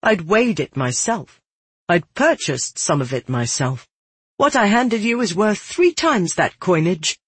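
A woman's voice: on the low side, big pitch swings, unhurried, slightly rough, no room sound, voice pushed up, exaggerated voice. A woman voicing a stubborn, desperate young woman who pleads urgently in a dramatic audiobook. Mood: indignant. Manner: urgent, emotional, defiant. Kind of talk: dramatic audiobook character acting.

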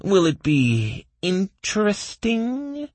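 A man voicing a hopeful, gleeful elf adventurer with a dreamy, drawn-out delivery. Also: high-pitched, wildly swooping pitch, a little mellow, no room sound, pitched above the performer's own voice, full character voice.

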